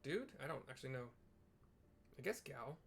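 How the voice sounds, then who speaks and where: A man hosting a gaming video, describing scenes in a casual, offhand and halting colloquial way. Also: mid-range voice, big pitch swings, slight background noise.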